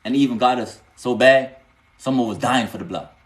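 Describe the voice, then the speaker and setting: A man's voice: mid-range voice, a little fast, roomy sound. A man, teaching spiritual lore casually on a live stream and answering viewers, often asking "you know what I'm saying" and rising into emphatic bursts.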